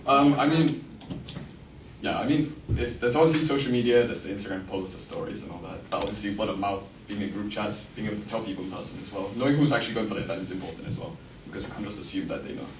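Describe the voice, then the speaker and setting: A fast-talking young man from Singapore with a lowish voice and a partly British Singaporean accent. He speaks with dry, self-mocking humour. He is picked up from a distance in an echoey hall with audible noise. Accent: Singaporean-tinged British English. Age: 20s. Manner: wry, self-mocking, many fillers when answering. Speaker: candidate giving a campaign speech. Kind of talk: joking election speech, then answering questions. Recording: echoey room, from afar, noisy.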